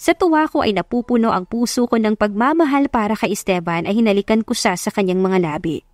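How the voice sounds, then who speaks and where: A woman's voice: medium pitch, a little fast, darkish tone, no echo, clean studio sound. A woman reading a scripted first-person love story as an audiobook narrator.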